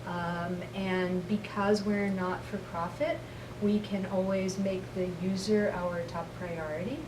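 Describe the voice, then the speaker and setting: Middle-aged woman, halting with many ums, roomy echo, noisy background. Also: mid-range voice, level tone. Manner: thoughtful, frequent ums, slow and halting. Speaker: interviewee, company representative. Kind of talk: interview answers. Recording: roomy, distant mic, noisy ambience.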